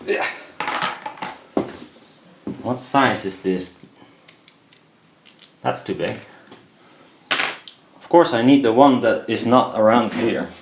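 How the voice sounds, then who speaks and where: A man's voice: medium pitch, slow, pauses often. A man giving casual, hesitant hands-on commentary, muttering to himself as he works at an indoor bench. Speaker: DIY maker. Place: indoors at a home workbench. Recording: clear background noise, clicks of handling. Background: noise and clicking.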